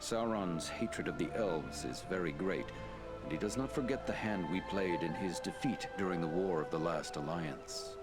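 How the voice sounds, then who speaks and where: An actor playing an ancient, dignified elf lord in a fantasy game, speaking in a grave, formal, deliberate way. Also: male voice, deep voice, over loud music, lightly acted. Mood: grave, warning. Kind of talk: dramatic fantasy game acting.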